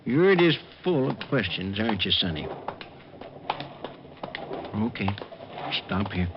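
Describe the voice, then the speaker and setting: A man's voice: on the low side, very animated, slow pace, heavily acted. An actor playing a tough older crooked barman making folksy, sneering threats in an old-time radio crime drama. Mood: menacing. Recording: muffled, noisy old radio recording.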